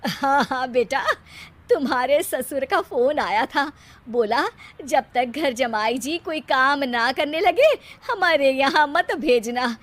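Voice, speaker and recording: A woman's voice: high voice, animated, slow pace. A weary middle-aged father in a cartoon, voiced by a woman. He speaks unhurriedly, with dry sarcasm and resignation. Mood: drily sarcastic.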